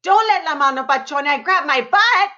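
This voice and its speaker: A woman's voice: high voice, lively intonation, moderate pace, sharp tone, voice pushed up. A woman voicing a frightened, melodramatic young boy in a storybook read-aloud, pleading and earnest. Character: frightened, melodramatic young border boy narrating his tale. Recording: dull, muffled lo-fi audio.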